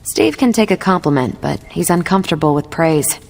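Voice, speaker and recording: Low-pitched, easygoing heroine. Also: female voice, animated, fairly quick, creaky, a little mellow. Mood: observant. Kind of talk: video game cutscene acting. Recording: background music under the voice.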